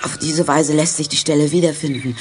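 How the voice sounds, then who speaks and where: A clever, eager boy sleuth played by a male actor in a children's detective radio play. His voice is fairly high, with music clearly heard behind it. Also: fairly even intonation, a little fast, close to an everyday voice. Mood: deducing. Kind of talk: 1980s children's detective audio play acting.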